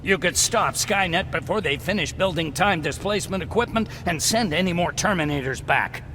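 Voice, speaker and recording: A man's voice: high-pitched, moderate pace, pushed and bright. A man acting a video game role as an earnest resistance scientist, fervent yet resigned. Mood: determined. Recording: clearly noisy game soundscape.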